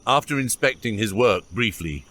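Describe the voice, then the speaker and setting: A man's voice: fairly low pitch, animated, moderate pace, fairly bright tone. A man reading scripted narration for an epic fantasy audiobook.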